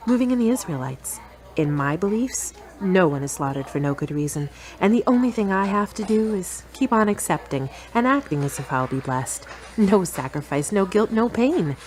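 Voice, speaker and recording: An actress playing a self-assured, frank, teasing girlfriend in a radio drama, articulate and measured. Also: on the low side, close to an everyday voice, low-quality recording. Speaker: actor in an audio drama. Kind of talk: scripted radio-play dialogue.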